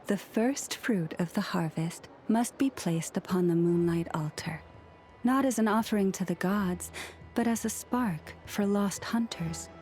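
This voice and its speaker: Woman as a soft, mellow, serene moon priestess. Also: mid-range voice, slow pace, soft background music, close to an everyday voice. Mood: serene, gracious. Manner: ceremonial, poetic, gentle formal phrasing. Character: serene, gracious female moon priestess, gentle and formal. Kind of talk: video game character acting.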